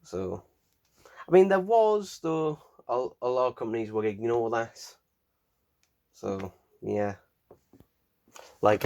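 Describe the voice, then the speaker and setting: A British man with a croaky voice from hay fever, chatting casually as he unboxes and rambles with lots of fillers and restarts. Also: fairly low pitch, dark tone, slight background noise. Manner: rambling, filler-heavy, self-correcting. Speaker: unboxing vlogger. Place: room at home with window open.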